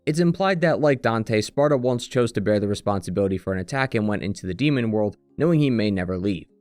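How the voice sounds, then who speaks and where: A man's voice: on the low side, lively intonation, fast, a little mellow, clean tone, dry recording, faint music behind. A man reading scripted, analytical commentary as the narrator and critic of a video game retrospective.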